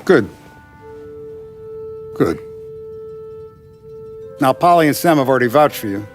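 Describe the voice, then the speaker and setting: An actor playing a paternal, authoritative Italian-American mafia boss in a 1930s crime game, speaking deliberately. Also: male voice, mid-range voice, expressive. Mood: stern, instructive. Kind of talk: cinematic video game acting. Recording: music behind the voice.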